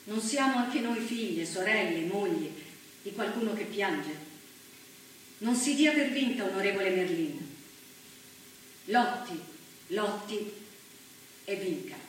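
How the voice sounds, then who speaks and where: A woman's voice: medium pitch, expressive, unhurried, long pauses, close to an everyday voice. An actress playing a wronged, pleading young brothel woman, speaking her letter earnestly as a stage monologue. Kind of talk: theatrical monologue. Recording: very echoey theatre, distant, faint noise.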